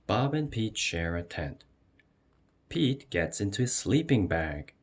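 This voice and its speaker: Deep, animated man reading a storybook aloud. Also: slow pace. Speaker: storyteller reading a children's picture book aloud. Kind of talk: expressive read-aloud narration.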